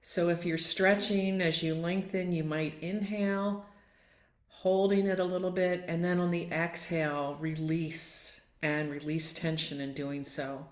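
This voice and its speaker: A woman leading a guided relaxation as a yoga nidra teacher, gently and without hurry, inviting listeners along. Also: fairly low pitch, flat delivery, unhurried. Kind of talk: guided meditation and relaxation instruction. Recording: roomy, echoing sound.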